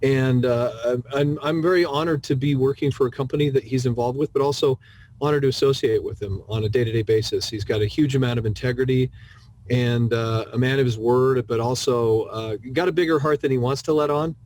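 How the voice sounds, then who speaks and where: A man's voice: mid-range voice, fairly quick, a little mellow. A man hosting an online company meeting informally, in a complimentary and joking manner.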